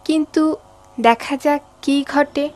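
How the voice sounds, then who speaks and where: A woman's voice: high voice, steady tone, takes it slowly, darkish tone. A woman giving dramatic scripted narration for a cartoon story.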